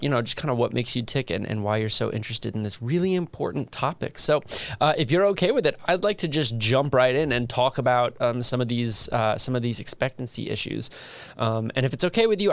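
A man's voice: mid-range voice, brisk, no echo. A man hosting an academic podcast, introducing his guest in a friendly, chatty way with light laughter. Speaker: podcast host. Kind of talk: casual interview.

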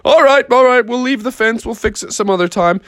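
A man voicing a boisterous, friendly bull in a story, loud, eager and exclamatory. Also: extremely high pitch, fairly quick, no echo, studio quality, voice pushed up. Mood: jolly.

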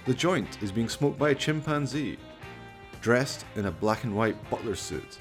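A man's voice: mid-range voice, animated, moderate pace. A man giving theatrical, wry scripted narration for a horror radio play. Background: music.

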